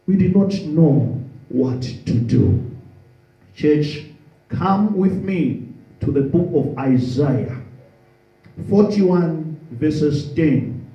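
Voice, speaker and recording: Slow, earnest preacher in echoey hall. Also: male voice, on the high side, dark tone, distant mic, dull audio. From Zambia. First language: Tonga. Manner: slow, earnest, repeats key phrases. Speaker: preacher, theology student. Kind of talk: sermon. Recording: echoey room.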